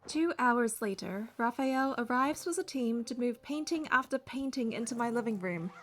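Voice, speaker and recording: A young adult woman reading game narration and dialogue aloud in an expressive storytelling style. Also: on the high side, moderate pace.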